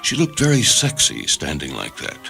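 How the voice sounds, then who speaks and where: A man's voice: rumbling low, huge pitch swings, moderate pace, gravelly, bright, forceful tone. A man reading a literary short story as narrator, with dramatic scripted delivery. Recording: faint music behind the narration.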